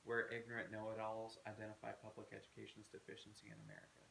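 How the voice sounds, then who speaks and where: An enthusiastic man with a low, gravelly voice jokes his way through a movie review chat. His tone is bright and forceful, and the echoey room carries a faint hiss. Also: monotone, quick pace. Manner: enthusiastic, jokey. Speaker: co-host.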